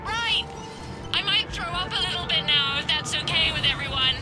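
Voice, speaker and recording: A woman's voice: extremely high pitch, moderate pace. An actress playing an earnest, anxious squadmate in a video game, polite and urgent as she reports to her commander. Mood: queasy, polite. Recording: loud game music behind.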